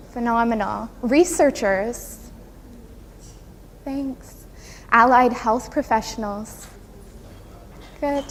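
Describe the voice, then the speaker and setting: A woman with a soft, high-pitched voice presents to a conference audience. She is earnest and gracious, guiding listeners carefully from point to point. The recording has long hall reverb and some faint background noise. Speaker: conference presenter, occupational therapist. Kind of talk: prepared conference talk to an audience. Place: conference room, speaking to an audience.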